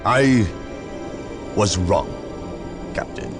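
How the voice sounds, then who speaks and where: An actor playing a stern, suspicious, principled inquisitor in a video game, with a measured, formal and grave manner. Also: male voice, fairly low pitch, music in the background. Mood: solemn, contrite. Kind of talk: dramatic video game acting.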